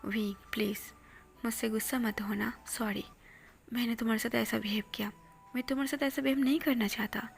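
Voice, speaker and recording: A woman's voice: a little high, fairly even intonation, fairly quick, vocal fry, soft background music. A woman whispering as a possessive rich man. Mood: soothing. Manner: soft whispering, pleading then menacing.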